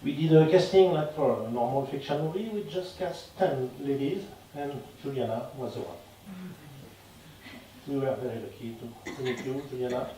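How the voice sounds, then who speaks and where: A French man, a film director, leading a festival Q&A in English with a French accent, halting and searching for words. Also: mid-range voice, dark tone, slight background noise. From France. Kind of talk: festival Q&A after a screening. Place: cinema hall. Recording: echoey cinema hall from far off.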